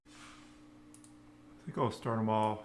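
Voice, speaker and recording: A man giving an unscripted coding tutorial, thinking aloud in halting, short fragments. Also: on the low side, wildly swooping pitch, darkish tone. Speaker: programming tutorial instructor. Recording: noisy with keyboard typing. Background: computer keyboard typing.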